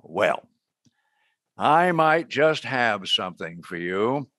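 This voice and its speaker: A brisk, commanding American agency director in a radio play, acted by a man. His voice is fairly low and closely recorded. He speaks deliberately, with authority. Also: sing-song, sharp tone, no echo, clean studio sound, exaggerated voice. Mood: measured, intent. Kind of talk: radio drama acting.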